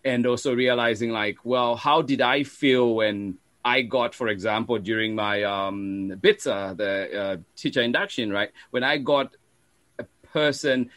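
A man, a teacher being interviewed, talking casually and reflectively in halting, trailing clauses. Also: mid-range voice, fairly bright tone, no echo. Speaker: teacher and storytime show creator, guest.

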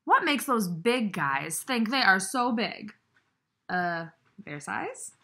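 A woman voicing a big, anxious, indignant male elephant from a picture book, with emphatic drawn-out exclamations. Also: mid-range voice, very animated, pushed and bright, heavily acted. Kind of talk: children's storybook read-aloud.

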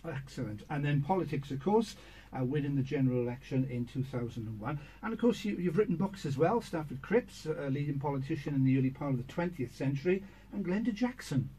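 A middle-aged man hosting a local radio show from a small studio, friendly with brisk presenter patter. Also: a little high, animated, mellow, husky, low-quality recording. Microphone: studio boom mic.

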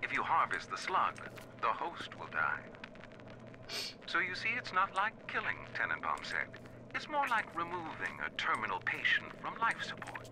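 Wildly swooping, cold scientific diarist. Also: male voice, on the high side, wildly swooping pitch, normal speed. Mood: detached, intrigued. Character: clinical, cold man dictating a sinister scientific diary. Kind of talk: video game audio diary acting. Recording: game audio diary with background noise.

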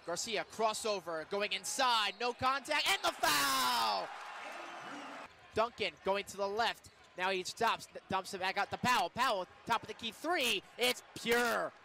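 A young man doing live radio play-by-play of a basketball game in short, punchy, excitable phrases that can rise to shouts. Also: sits high, a little slow, vocal fry. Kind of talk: live sports commentary. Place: courtside in a college basketball arena. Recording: loud arena crowd and court noise. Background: crowd, bouncing basketball.

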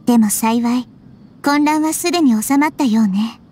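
A woman voicing a wise, childlike young goddess in an anime game, calm, measured and gently authoritative. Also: sits high, slight background noise, lightly acted. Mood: calm, grave. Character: wise, composed childlike little goddess girl. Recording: music under the voice.

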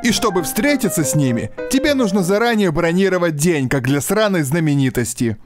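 A man around thirty delivering a scripted comedic monologue with exaggerated, animated complaining as a video host. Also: sits high, brisk, over music. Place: small foam-treated home studio.

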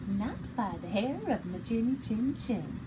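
A woman's voice: medium pitch, expressive, unhurried, heavy background noise, lightly acted. A woman voicing a plucky, polite little pig in a children's storybook, with emphatic, childlike delivery. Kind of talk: children's storybook read-aloud.

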